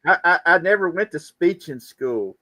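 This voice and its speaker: A man's voice: high voice, expressive, normal speed, bright, forceful tone, dry recording. A man hosting a livestream, chatting casually and giving commentary in a rambling, joking way. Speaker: livestream host. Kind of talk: casual chat and political commentary.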